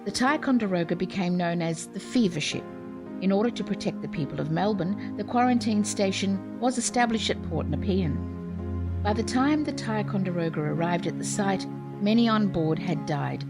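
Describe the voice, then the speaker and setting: A woman giving clear, even, scripted narration for a series of historical radio plays. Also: mid-range voice, normal speed. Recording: music playing behind. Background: music.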